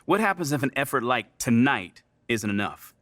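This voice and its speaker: A man's voice: mid-range voice, expressive, moderate pace, fairly bright tone, no echo, natural voice. A man playing a probing sports reporter asking interview questions in a video game.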